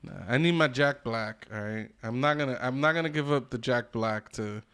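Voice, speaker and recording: A man's voice: mid-range voice, normal speed, fairly bright tone, smooth. A man co-hosting a podcast, chatting casually with enthusiasm, plenty of slang and swearing. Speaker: podcast co-host. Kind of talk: casual group chat about video game movies.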